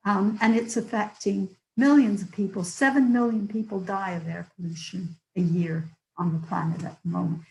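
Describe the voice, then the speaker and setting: An older woman with a hoarse voice, giving an earnest public lecture with stories and the odd filler. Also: mid-range voice, steady tone, normal speed, hardly any pauses, a little mellow, roomy sound, a little hiss or hum, poor audio. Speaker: guest lecturer.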